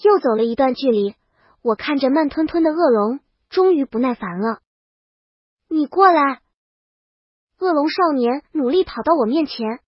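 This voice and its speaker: A woman's voice: sits high, lively intonation, normal speed, pauses often, no room sound, muffled recording. A woman reading a scripted first-person comic story as its brash, exasperated heroine. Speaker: audio story narrator.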